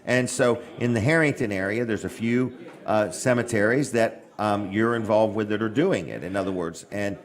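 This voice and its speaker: A man hosting a casual radio interview, chatting in a folksy way with quick, short reactions. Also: on the low side. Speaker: radio show host.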